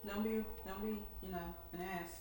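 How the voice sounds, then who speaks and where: A woman's voice: medium pitch, fairly even intonation, normal speed, a little mellow, recorded from a distance. A woman playing a tabletop role-playing game, bantering casually in a sarcastic, irreverent way. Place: indoor room, home game session. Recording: very echoey room, clear background noise. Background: noise, air conditioner hum.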